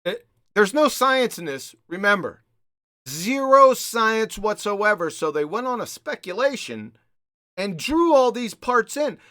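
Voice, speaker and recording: Mocking man with wildly swinging pitch. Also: big pitch swings, measured pace, bright, forceful tone, dry recording, studio quality. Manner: mocking, emphatic, repeats short phrases. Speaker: host of a live debunking show. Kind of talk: live panel commentary.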